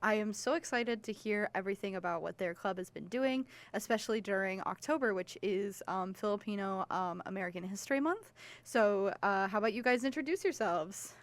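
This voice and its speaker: A young woman with a mid-range voice hosts student radio. She interviews guests in a casual, informal way and comes across as excitable. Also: mid-range voice, fairly quick. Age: young adult.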